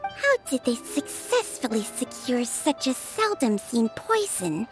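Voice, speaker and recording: Small squeaky fairy sidekick, game dialogue, high-pitched, bouncy intonation, childlike. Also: female voice, very animated, a little slow, soft background music. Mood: curious, smug. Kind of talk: video game voice acting.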